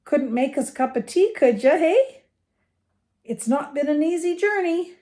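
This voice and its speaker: A woman's voice: high voice, animated, measured pace, mellow, pitched above the performer's own voice. A woman voicing a hearty, friendly giant man in a children's storybook, brash and folksy. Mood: blunt, jovial. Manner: boisterous, folksy, informal.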